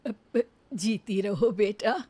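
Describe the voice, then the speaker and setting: Woman acting a slow, gentle old village mother. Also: medium pitch, slightly rough, no room sound. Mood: gentle, good-humoured. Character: humble, kindly old village mother-in-law.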